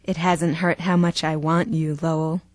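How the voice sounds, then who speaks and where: A woman's voice: deep voice, level tone, moderate pace, no room sound, natural voice. Woman acting as a cool, seductive actress, dry sound. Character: cool, seductive, self-possessed female actress with ruthless streak. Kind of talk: audio drama acting.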